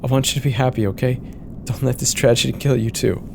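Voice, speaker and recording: A man's voice: mid-range voice, steady tone, fast talker, gravelly, background noise, voice pushed up, natural voice. A man playing an uneasy, cynical airline co-pilot in a horror audio drama, speaking in a tense, resigned way. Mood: tearful, tender. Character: uneasy, cynical male co-pilot in a failing plane.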